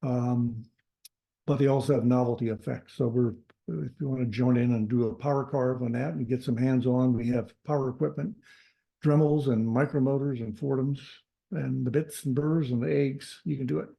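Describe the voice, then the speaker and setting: A man with a gravelly, very dark voice hosts a club meeting over a video call. He talks loosely, circling back with fillers and restarts. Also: medium pitch, fairly even intonation, normal speed, poor audio. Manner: rambling with many fillers and restarts. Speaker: carving club presenter. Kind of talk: casual presentation on a video call.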